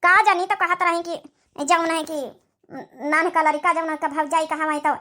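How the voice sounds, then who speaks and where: A woman's voice: extremely high pitch, level tone, a little slow, bright, forceful tone, exaggerated voice. A woman with an Awadhi accent voicing an ageing, impatient village mother who nags plainly in a cartoon story. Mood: explaining. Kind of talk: amateur cartoon story dialogue.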